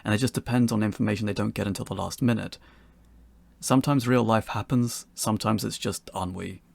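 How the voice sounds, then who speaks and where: A man's voice: on the low side, fairly even intonation, a little fast, gravelly, darkish tone, dry recording. A man narrating a scripted tutorial in an articulate way with wry phrasing. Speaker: game developer giving a tutorial.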